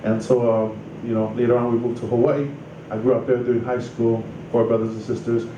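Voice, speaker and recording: A man's voice: mid-range voice, level tone, brisk, muffled recording. A man in his thirties, from Samoa, casually telling his life story in a loose, rambling way full of "you know" and "like". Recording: roomy distant mic with noise.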